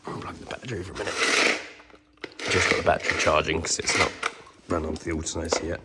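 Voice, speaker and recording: A man with a cold, croaky and hoarse, chatting casually with lots of ums while filming a walkaround of his truck restoration in a workshop. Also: a bass voice, quick pace, heavy background noise. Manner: relaxed, many ums, trailing off.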